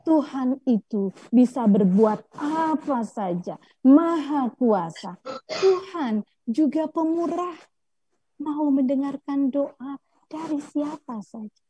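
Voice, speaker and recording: A woman reciting poetry in an expressive, plaintive, theatrical way. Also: husky. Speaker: poet reading own poem. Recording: echoey, muffled low-fidelity audio.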